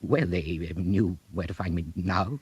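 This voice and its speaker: A man playing a meek, bumbling ex-convict underling in a comic radio drama, hesitant, apologetic and slow on the uptake. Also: sits low, darkish tone, slightly rough, dry recording, heavily acted. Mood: flustered. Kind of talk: radio comedy drama acting.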